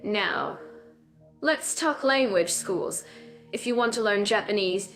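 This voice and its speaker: A woman's voice: medium pitch, animated, slow pace, fairly bright tone, faint music behind. A woman co-hosting a scripted podcast, chatting in an upbeat way with bubbly little interjections. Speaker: podcast co-host.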